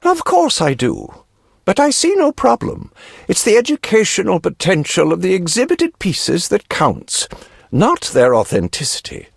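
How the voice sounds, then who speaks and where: An actor playing a fussy, pompous, wealthy antiquities collector with a florid, genteel, pedantic manner, in a video game. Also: male voice, high voice, wildly swooping pitch, a little slow, gravelly, breathy edge, no room sound, studio quality, exaggerated voice. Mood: pedantic, eager.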